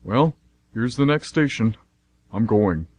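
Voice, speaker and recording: A man's voice: mid-range voice, animated, slow. A man playing a sarcastic, skeptical and somewhat nervous friend who wisecracks, in an amateur radio drama.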